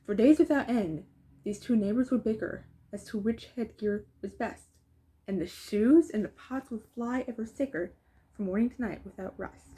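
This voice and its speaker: A woman's voice: a little high, normal speed, dark tone, poor audio. A woman reading a rhyming children's story aloud, with casual asides as she goes. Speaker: storybook reader.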